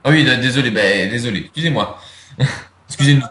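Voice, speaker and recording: A man's voice: medium pitch, a little fast, fairly bright tone. Young guy chatting in an interview, lots of ums and restarts, roomy echo. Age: young adult. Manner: hesitant, many fillers and restarts. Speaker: interviewee, video creator. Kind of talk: casual interview chat.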